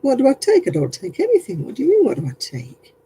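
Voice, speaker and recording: A man's voice: extremely high pitch, wildly swooping pitch, quick pace, mellow, a little hiss or hum. A man chatting casually with viewers while crocheting on a livestream, self-mocking and laughing often. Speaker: craft livestream host.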